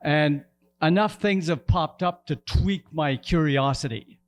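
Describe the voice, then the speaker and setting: An older man from the Lower Ottawa Valley, a retired teacher and principal, giving a relaxed, chatty history lecture in a loud voice in a lecture room. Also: measured pace. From Lower Ottawa Valley, Canada.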